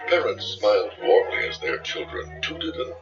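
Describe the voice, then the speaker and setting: Male narrator, children's read-along, very expressive intonation, medium pitch, muffled sound, music underneath. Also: moderate pace. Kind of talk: scripted children's story narration. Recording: dull distant lo-fi audio, music behind.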